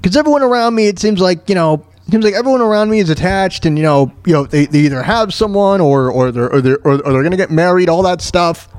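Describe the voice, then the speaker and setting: High-pitched man on a halting, frustrated rant. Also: darkish tone, no room sound. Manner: halting, repetitive, emphatic; frustrated, dejected. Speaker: podcast host. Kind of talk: rambling personal rant.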